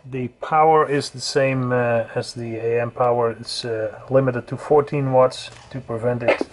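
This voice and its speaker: A man giving a casual hands-on equipment demonstration as a reviewer, speaking haltingly with hesitant pauses. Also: medium pitch, fairly even intonation. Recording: loud radio hiss and static. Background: radio noise.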